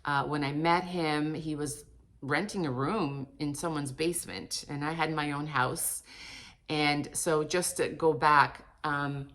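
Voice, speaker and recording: A woman's voice: low-pitched, fairly even intonation, measured pace, fairly bright tone. A woman of 58 from Portugal talking casually and candidly in a personal vlog, rambling conversationally and correcting herself as she goes. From Portugal, emigrated with parents. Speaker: vlogger and author.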